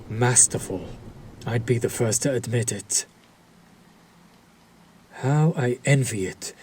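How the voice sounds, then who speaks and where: A man's voice: mid-range voice, darkish tone, slight background noise, lightly acted. A man playing a courteous, mocking vampire lord in a roleplay audio, speaking in a silky, theatrical, condescending way. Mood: smooth, admiring. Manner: silky, theatrical, condescending, lingering over words. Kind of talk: dramatic roleplay audio addressed to the listener.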